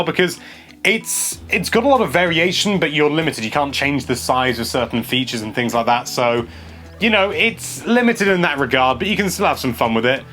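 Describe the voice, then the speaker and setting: A young man hosting a casual gameplay commentary, chatty and excitable, stumbling over his words and laughing a lot. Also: a little high. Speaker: games journalist host. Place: small indoor room.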